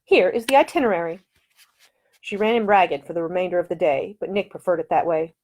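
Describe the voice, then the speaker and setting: A woman's voice: fairly low pitch, fast, a little mellow. A woman reading her own historical novel aloud as scripted audiobook narration.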